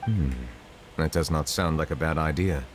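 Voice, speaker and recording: A deep-voiced, dignified game character: a dragon in human form serving as chief justice. He is solemn and stiffly courteous, choosing measured, formal words over faint music. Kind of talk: video game voice acting.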